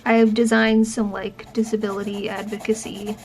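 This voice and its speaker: A woman's voice: medium pitch, level tone, normal speed, a little mellow, slight background noise, rough recording. A young adult woman talking casually to camera, chatty and full of fillers and restarts. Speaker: vlogger and professor. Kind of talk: casual chat.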